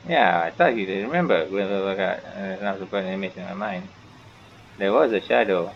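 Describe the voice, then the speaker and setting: Low gravelly man doing game commentary. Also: a little fast. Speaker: gaming YouTuber. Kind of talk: casual playthrough commentary, reading game text aloud. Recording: dull, noisy home gaming recording.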